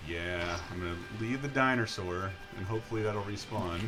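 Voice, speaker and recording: Male gameplay host, casual and explanatory, talking over clear game music. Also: low voice, normal speed. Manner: explanatory, walks listeners through the game. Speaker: host playing a video game on a show. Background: video game music.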